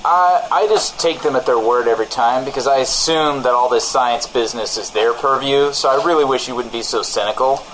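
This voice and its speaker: A man playing a paranoid, stubborn radio co-host who speaks emphatically in deadpan comedy banter. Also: medium pitch, brisk, few pauses, a little hiss or hum, close to an everyday voice, rough recording. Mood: stubborn, suspicious.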